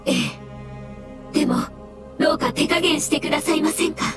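Woman as a high, meek elemental creature. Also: fairly even intonation, moderate pace, natural voice. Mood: pleading. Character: meek, polite elemental jellyfish creature, sorrowful and loyal. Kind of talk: Japanese anime game voice acting. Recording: loud music behind the voice.

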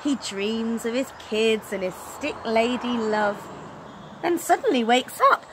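A woman in her thirties reading a children's story aloud in an animated, dramatic way, recorded outdoors. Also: a little high, a little slow. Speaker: storytelling teacher. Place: outdoors on an open wooden deck. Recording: outdoor recording, clear background noise.

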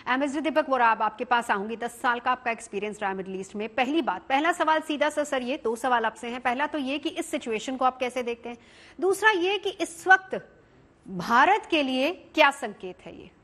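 High-voiced woman news anchor. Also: animated, normal speed, fairly bright tone. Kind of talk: TV panel discussion questioning. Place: TV studio.

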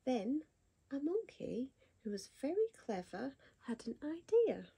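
A woman's voice: sits high, very animated, unhurried, vocal fry, husky. Whispering woman reading a bedtime story. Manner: soft whisper with gasps and questions to a child.

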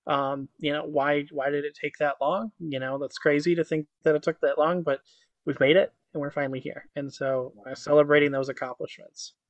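Upbeat higher-voiced man on a video call. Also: fairly even intonation, brisk, no echo, lo-fi sound. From Croatia. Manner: upbeat, fluent, many "um"s and "you know"s. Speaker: guest, motivational speaker. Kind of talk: casual interview chat. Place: indoor home office with virtual backdrop. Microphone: webcam on a video call.

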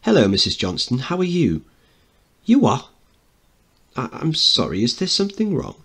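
A man's voice: medium pitch, huge pitch swings, normal speed, fairly bright tone, husky, voice pushed up, heavily acted. A man voicing an earnest upper-class little boy with polite, pleading manners.

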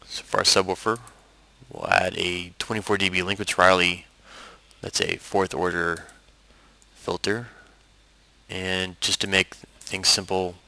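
A man narrating a software tutorial as an instructor, explaining things step by step. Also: sits low, gravelly, no room sound.

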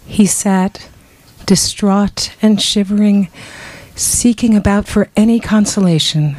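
Slow, mellow woman storyteller. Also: mid-range voice. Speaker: stage performer reading narration. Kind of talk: dramatic literary reading. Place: small crowded bookshop, indoors. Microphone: microphone on a stand.

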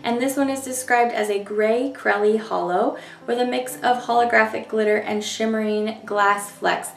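A young woman talks in an effusive, chatty way, her voice hoarse and gravelly. She sounds a little distant in a roomy, echoey space, with faint music behind her. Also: on the high side, fairly even intonation, moderate pace. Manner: gushing, chatty, keeps saying "you guys". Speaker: nail polish reviewer. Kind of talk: casual product review. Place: indoor room in front of polish shelves.

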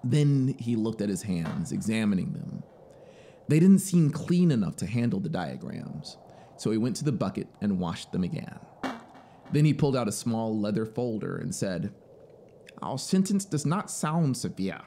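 A man in his thirties reads a literary story with expressive, measured delivery. His pitch is animated, and he is close on a studio mic with a faint ambient wash behind him. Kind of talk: dramatic literary narration. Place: small home music studio. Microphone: close studio microphone on boom arm. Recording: faint background noise and ambience. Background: ambient soundscape.

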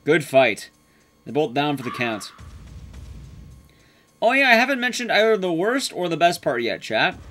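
A man's voice: on the high side, very animated, moderate pace, pauses often, pushed and bright, faint music behind. A man in his thirties giving casual, joking live game commentary and chatting with his viewers. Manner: casual, joking, swears mildly. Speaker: streamer and speedrunner. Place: small indoor room at home, streaming.

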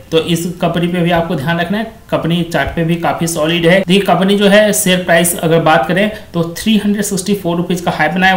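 A man with a high, light voice walks through company numbers on a screen recording, chatty and repetitive in his praise. The sound bounces around an echoey room, picked up by a desk microphone. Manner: conversational, repeats stock phrases like "quite excellent". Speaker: stock market video host. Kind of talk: screen-share stock review.

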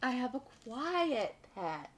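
A woman voicing a cheerful, excitable little male goldfish in a children's storybook, friendly and eager for company. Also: a little high, normal speed, breathy edge, exaggerated voice. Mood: delighted.

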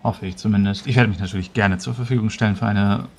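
A man playing a polite, self-assured young scholarly mage in a tabletop game, speaking formally and deliberately with hesitations. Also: fairly low pitch, steady tone, darkish tone, husky. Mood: cautious assurance. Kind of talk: tabletop roleplay in character.

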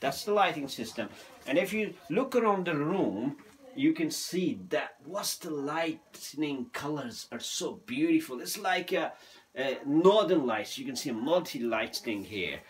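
A man presenting an informal hands-on unboxing in a hesitant, halting way, speaking non-native English in a room at home. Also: a little high, lively intonation. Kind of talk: informal hands-on product demonstration. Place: small room at home, indoors. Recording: noticeable background noise, handling clicks.

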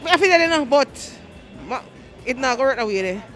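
A woman's voice: high-pitched, lively intonation, brisk, fairly bright tone, faint music behind, a faint crowd. A woman with a Jamaican accent, answering on a busy city street into a handheld mic in firm, short replies. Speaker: street interviewee. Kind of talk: casual street chat. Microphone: handheld mic held by interviewer.

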